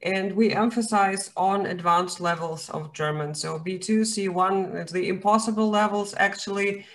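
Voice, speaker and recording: A woman's voice: medium pitch, steady tone, normal speed. A woman from Latvia whose first language is Russian, introducing herself on a video call in a confident, wry way. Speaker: YouTube German teacher. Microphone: webcam on a video call.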